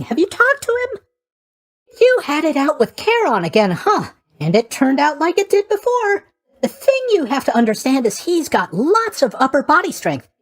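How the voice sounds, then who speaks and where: A man's voice: extremely high pitch, fairly bright tone, full character voice. A man playing a sleepy, flippant young god of sleep in a video game, with a chirpy, scatterbrained, cheerfully tactless delivery. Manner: chirpy, scatterbrained, mock-sympathetic delivery with stammers and trailing questions. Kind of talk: video game character voice acting.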